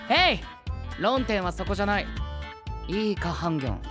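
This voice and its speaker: A proper young gentleman in an anime-style audio drama. He is formal and earnest, quick to lecture and drop English exclamations. Clear music plays behind him. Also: high-pitched, sing-song. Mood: exasperated, scolding.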